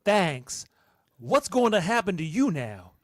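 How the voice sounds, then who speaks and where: A man's voice: high-pitched, big pitch swings, slow pace, no echo, studio quality, exaggerated voice. A man playing a curious, self-assured starship captain in an amateur radio drama. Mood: probing, wry. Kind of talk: amateur radio play acting.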